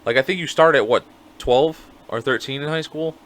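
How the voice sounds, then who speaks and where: A man in his thirties co-hosting a podcast, chatting casually in a blunt, profane, animated way. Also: fairly high pitch, normal speed. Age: 30s. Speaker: podcast co-host. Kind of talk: casual chat.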